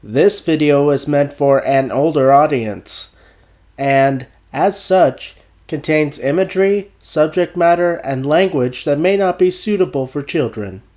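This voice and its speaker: A man's voice: fairly high pitch, unhurried, darkish tone. Man with a cold narrating a review. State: recovering from a cold. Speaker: video essay host.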